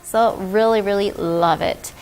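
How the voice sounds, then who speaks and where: A woman's voice: medium pitch, fairly even intonation, unhurried, darkish tone, poor audio. A woman co-hosting a news show in a casual, chatty style. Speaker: news co-host.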